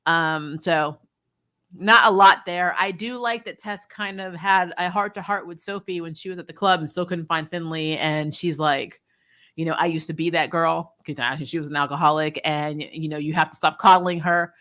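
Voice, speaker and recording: A woman hosting a casual, opinionated video review, talking candidly to viewers and retelling things in an animated, mildly sweary way. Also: low voice, normal speed. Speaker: video host reviewing a TV episode.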